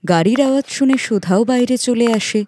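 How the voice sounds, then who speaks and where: A woman's voice: fairly high pitch, moderate pace, a little mellow, a little hiss or hum. A woman reading a scripted children's moral story as its narrator.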